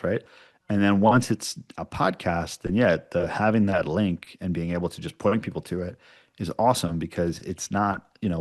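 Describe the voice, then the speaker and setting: A man giving casual, explanatory interview answers, often checking in with short tags like "right?". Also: deep voice. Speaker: podcast producer, interview guest.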